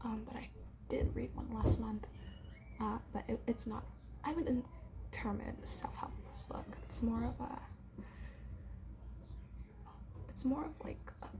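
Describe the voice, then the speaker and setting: Woman doing a shy quiet classmate girl, asmr roleplay, breathy whisper, kinda high voice, hesitant. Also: low-quality recording. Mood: reflective, hesitant. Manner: hesitant, soft-spoken, halting. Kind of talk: whispered ASMR roleplay, improvised casual chat.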